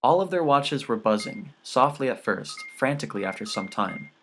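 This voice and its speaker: A man's voice: mid-range voice, animated, normal speed, a bit bright, slight background noise. Male audiobook narrator reading literary fiction.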